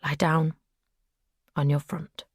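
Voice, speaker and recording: A woman voicing an obsessive male captor who speaks in soft, breathy whispers. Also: low-pitched, flat delivery, slow, no room sound, studio quality, exaggerated voice. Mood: cold whisper.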